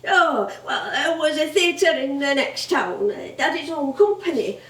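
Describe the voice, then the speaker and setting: A woman's voice: high voice, expressive, measured pace, a bit bright, heavily acted. A woman playing an elderly music-hall actress with a Northern English accent, chatty and rambling, proud and nostalgic in a stage drama. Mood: chatty, amused. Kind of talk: theatrical monologue-like reminiscing. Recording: roomy, distant stage recording.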